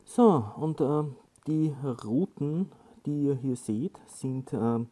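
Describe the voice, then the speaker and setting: Casual male tutorial presenter, very dark soft-edged tone, slow and hesitant delivery. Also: on the high side, animated. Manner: halting, hesitant delivery with frequent filled pauses. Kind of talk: casual hands-on instructional talk.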